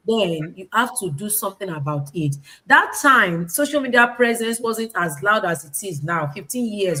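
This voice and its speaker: A woman's voice: medium pitch, a bit bright, husky, low-quality recording. Emphatic woman presenting online. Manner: emphatic, repeats phrases, asks rhetorical questions. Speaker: online presenter, business owner and educator. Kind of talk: informal online business presentation.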